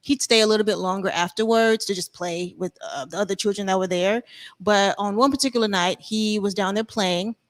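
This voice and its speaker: A woman from Arkansas, a community advocate, answering interview questions in a soft, storytelling way with many pauses and ums. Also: medium pitch, fairly even intonation. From Arkansas, USA. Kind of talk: casual interview talk.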